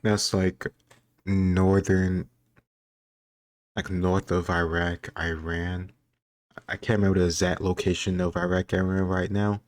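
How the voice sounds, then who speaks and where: A man's voice: low voice, little pitch movement, unhurried, pauses often, no echo, studio quality. A man hosting a casual reaction video, thinking aloud in a reflective way as he gives his commentary. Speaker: video host taking a history quiz.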